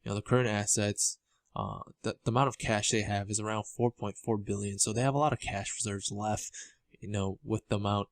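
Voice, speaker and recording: A man giving informal financial commentary as a video host, halting and often repeating words as he explains. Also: lowish voice, fairly even intonation, gravelly, no room sound. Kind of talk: informal financial commentary over documents on screen.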